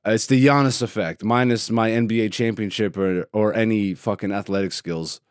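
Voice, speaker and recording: A man's voice: fairly low pitch, steady tone, moderate pace, clear, resonant tone, dry recording, clean studio sound. A man hosting his own podcast solo, chatting in a laid-back, joking and profane way, rambling from topic to topic. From Greece. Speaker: podcast host. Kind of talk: casual solo podcast chat.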